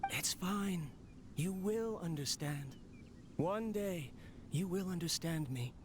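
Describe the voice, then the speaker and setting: A man's voice: sits high, animated, slow, noisy background. A man voicing a young expelled researcher, ambitious and lonely, who muses aloud to his mechanical pet on a tape recording. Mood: eager. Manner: eager, musing, talking to his machine. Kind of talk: video game voice acting.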